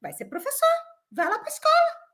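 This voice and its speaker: Female co-host, high and animated, firm opinionated talker. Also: a little fast. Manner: emphatic, opinionated, animated storytelling. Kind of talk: casual chat.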